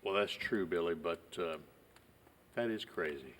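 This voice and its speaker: Man playing a deep-voiced, low-key small-town sheriff. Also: slight background noise. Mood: calm, probing. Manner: deadpan, halting, folksy and polite. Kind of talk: naturalistic film acting.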